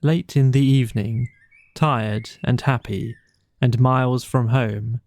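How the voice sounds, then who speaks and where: A man narrates a children's bedtime audiobook in a mid-pitched voice with a clear creak. His delivery is measured and expressive, shaped as scripted storytelling. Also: no room sound.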